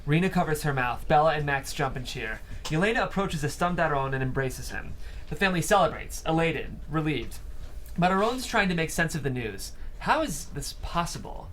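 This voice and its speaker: Male stage-directions reader for a table read. Slightly high voice, scripted narration, background noise. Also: lively intonation, moderate pace, a bit bright.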